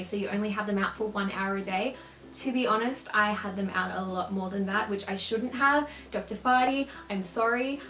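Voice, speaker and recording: A young woman vlogging in a casual, upbeat way, with a slight lisp and a quick laugh. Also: medium pitch, fairly bright tone. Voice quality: slight lisp from clear aligners. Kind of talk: casual chat to camera. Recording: roomy echo.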